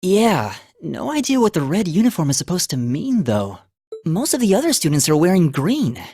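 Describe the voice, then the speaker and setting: A woman voicing a friendly, slightly timid teenage schoolboy with a soft husky voice, chatting in a video game. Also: lowish voice, sing-song, normal speed, dry recording, heavily acted. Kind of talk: game voice acting, casual dialogue.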